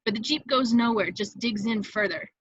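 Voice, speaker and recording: A woman's voice: fairly high pitch, moderate pace, slightly rough, dry recording, muffled recording. A woman reading scripted stage directions as the narrator of a table read, in a vivid storytelling manner.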